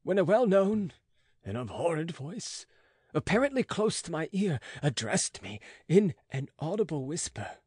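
High-pitched, husky male audiobook narrator. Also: big pitch swings, darkish tone, dry recording. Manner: theatrical, with emphatic swings and pauses. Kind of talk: dramatic gothic audiobook narration.

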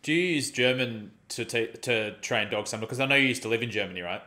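Brief: male host, 30s, mid-pitched, halting questions over a video call. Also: bright, forceful tone. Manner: halting, rephrases his questions. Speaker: podcast host. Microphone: headphones on a video call.

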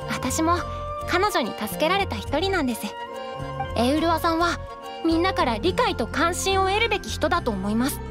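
A polite sincere waitress girl, high voice, anime game, light music behind. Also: big pitch swings. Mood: earnest, gentle. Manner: polite, measured, earnest. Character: polite, earnest, kindly young waitress at a fantasy tavern. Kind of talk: Japanese anime game voice acting.

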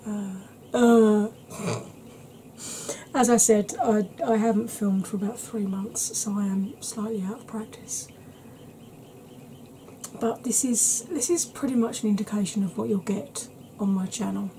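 A woman in her thirties chatting to camera at home in a soft ASMR style, rambling and often dropping into whispers. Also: medium pitch, fairly even intonation, a little slow, long pauses, background noise. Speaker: beauty vlogger. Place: small cluttered room at home.

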